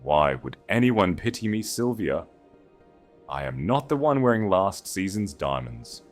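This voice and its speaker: A man voicing a cold, composed noblewoman with a quiet, smooth, deliberate and cutting delivery in a dramatic audio story. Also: sits low, very animated, faint music behind. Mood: icy, cutting. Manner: deliberate, icy, controlled.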